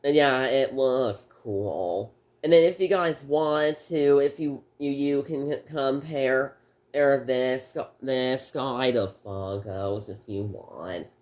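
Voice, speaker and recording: Slow-talking male reviewer, sick and slightly off-sounding, rambling and halting. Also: medium pitch, darkish tone. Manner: halting, rambling, frequent restarts. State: sick, voice a little off. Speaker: toy review host. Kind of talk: casual video review.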